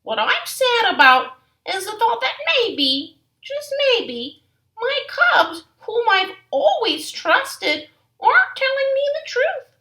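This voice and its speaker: A woman voicing a gentle, wise mother bear in a soft, sing-song motherly way. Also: very high-pitched, sing-song, takes it slowly, pitched above the performer's own voice, heavily acted, poor audio. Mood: wistful.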